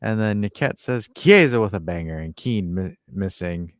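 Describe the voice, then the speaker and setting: A low-voiced American man co-hosting a football podcast, his pitch swinging widely as he jokes and teases himself. He reads out fan predictions in an easy, casual way. The sound is dry and close, with no room echo. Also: animated, normal speed. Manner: joking, self-mocking, reads out fan comments. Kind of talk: casual chat.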